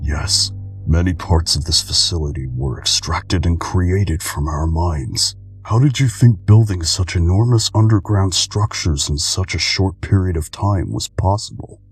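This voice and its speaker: A man voicing a weary, calm, melancholic old keeper of memories in a game fandub, speaking in a measured, solemn way. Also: low-pitched, husky, soft background music, heavily acted. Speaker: amateur voice actor in a game fandub. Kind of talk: dramatic scripted monologue, game dubbing.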